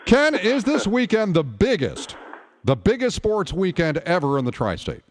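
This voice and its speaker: A man's voice: high-pitched, wildly swooping pitch, normal speed. A man hosting a radio talk show, bantering casually about sports in a conversational, teasing way. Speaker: talk radio host filling in.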